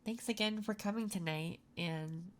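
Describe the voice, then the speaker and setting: A woman playing a curious, quietly flirtatious job interviewer in an ASMR roleplay, speaking softly and intimately. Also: mid-range voice, natural voice. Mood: shyly flirtatious. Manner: soft-spoken, unhurried, intimate.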